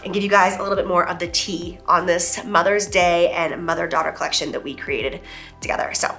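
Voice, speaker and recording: A woman's voice: lowish voice, steady tone, music in the background. A woman in her thirties hosting a beauty vlog, talking in an emotional, gushing way with a nasal, cold-stuffed voice. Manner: gushing, emotional, talks fast. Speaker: beauty vlogger. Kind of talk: casual chat.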